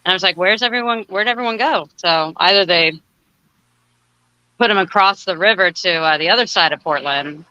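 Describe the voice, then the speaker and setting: A woman's voice: fairly low pitch, moderate pace, pushed and bright, smooth. A woman chatting casually as a guest on a live online stream. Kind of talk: casual chat. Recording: dull lo-fi audio.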